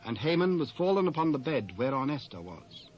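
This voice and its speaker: A man's voice: medium pitch, very animated, brisk, darkish tone. A British man narrating scripture from a script, as the storyteller in a dramatised reading. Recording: dull lo-fi cassette audio.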